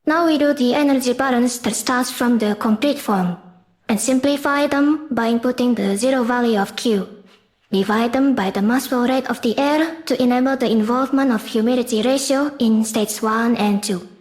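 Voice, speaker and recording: A woman's voice: a little high, moderate pace, darkish tone. A woman reading a scripted educational explainer in a formal, step-by-step manner, as the presenter of a class project.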